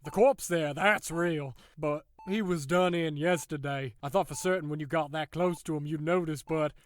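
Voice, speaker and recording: A man's voice: fairly high pitch, moderate pace, close to an everyday voice. A male actor playing a sadistic, mockingly polite prison warden in an audio drama, theatrical and taunting. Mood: gloating.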